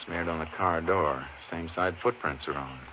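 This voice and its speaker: A man playing a steady, observant Texas Ranger in a 1950s radio western, speaking in a clipped, matter-of-fact way. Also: low voice, moderate pace, natural voice. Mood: focused. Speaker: lead actor in a radio western. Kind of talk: 1950s radio drama acting and first-person narration. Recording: noisy, muffled vintage radio recording.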